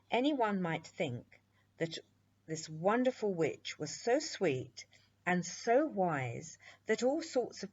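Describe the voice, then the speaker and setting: Lady reading a kids bedtime story, slow and a bit husky, kinda muffled recording. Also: on the low side. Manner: expressive, deliberate storytelling delivery. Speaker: storybook reader. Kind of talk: bedtime story narration for children.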